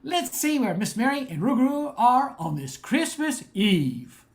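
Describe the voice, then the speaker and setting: Older woman narrating with animated pitch. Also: unhurried. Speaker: narrator and announcer. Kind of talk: scripted radio play narration.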